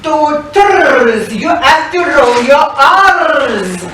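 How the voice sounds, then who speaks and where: A woman's voice: high voice, lively intonation, fairly bright tone, heavily acted. Echoey comic henchman. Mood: indignant. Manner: theatrical, drawn-out. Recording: very echoey room, distant mic, hiss.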